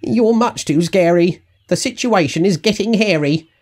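A man's voice: sits high, moderate pace, a little mellow, pitched above the performer's own voice. A man voicing a bossy, grumpy grey female bird in an emphatic, sing-song storybook rhyme. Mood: wary, defiant.

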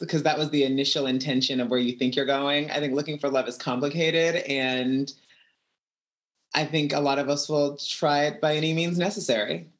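A woman, a reality show contestant, giving reflective interview answers over a video call, with soft laughs between thoughts. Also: sits low. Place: home room.

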